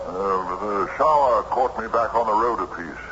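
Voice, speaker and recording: An actor playing a weary, driven, confused traveler in an old radio drama, speaking in formal, halting, agitated phrases. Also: male voice, fairly low pitch, bright, forceful tone, muffled recording. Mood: weary, lost. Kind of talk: vintage radio drama acting. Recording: noisy band-limited old radio recording.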